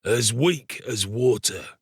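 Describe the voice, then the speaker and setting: A man voicing a savage, sick, ill-tempered criminal in an audiobook, with a gruff, growling, impatient delivery. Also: mid-range voice, expressive, takes it slowly, dry recording, clean studio sound. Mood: weak, irritable. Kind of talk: dramatised audiobook reading of a classic novel.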